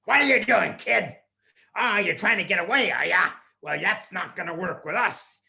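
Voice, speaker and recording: A nasty circus clown from a children's tale snarls threats in a loud voice, as a man puts him on. He is cold and controlling. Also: sits high, animated, normal speed, vocal fry, voice pushed up, heavily acted. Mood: menacing. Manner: loud, menacing.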